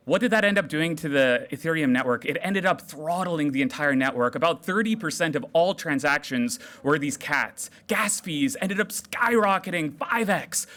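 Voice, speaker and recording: Man, stage speaker, loud and playful with the audience, higher register. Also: moderate pace, few pauses. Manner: loud, animated, jokey with the crowd. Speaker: developer relations speaker. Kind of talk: energetic live tech talk. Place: large room at a hackathon event.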